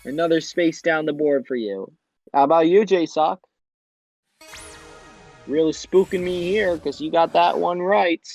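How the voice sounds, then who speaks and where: A man's voice: fairly high pitch, unhurried, pauses often, a little mellow, soft background music. A young man hosting a fan-made quiz show, reading out questions and answer choices in an upbeat quizmaster manner.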